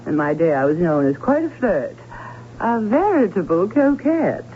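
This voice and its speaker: An actress playing a prim, proud older maiden aunt in an old radio drama, lecturing in a formal way. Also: female voice, lowish voice, sing-song, moderate pace. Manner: prim, lecturing, swooping emphasis. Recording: thin phone-like old radio, humming noise.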